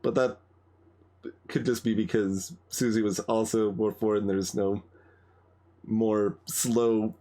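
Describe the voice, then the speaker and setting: Slow-talking man commenting on a game. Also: on the low side, little pitch movement, mellow. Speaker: video game streamer.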